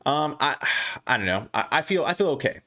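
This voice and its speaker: A young man hosting a casual stock livestream, reading viewer comments aloud and answering them. He talks haltingly with many restarts and fillers, and his voice is going hoarse. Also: fairly high pitch, sing-song, bright, forceful tone. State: losing his voice, clearing throat.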